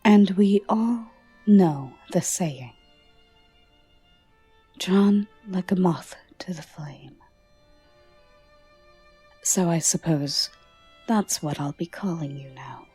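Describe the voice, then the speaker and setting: A woman playing a soft-spoken, alluring and guarded gothic lady in an ASMR roleplay, formal and teasing, often dropping into a whisper. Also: fairly low pitch, husky, no echo, faint music behind, heavily acted. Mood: fond, inviting. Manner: slow, formal, teasing endearments.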